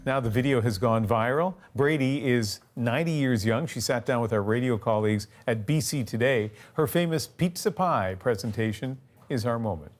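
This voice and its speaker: A man's voice: mid-range voice, moderate pace. News anchor guy, friendly and chatty, a bit of music underneath. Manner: friendly, conversational. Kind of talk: news presenting.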